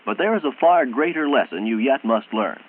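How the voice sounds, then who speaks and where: An American man narrating a 1960s military training film in a formal, measured, instructional manner, on an old film soundtrack. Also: mid-range voice, lively intonation, phone-quality sound, natural voice.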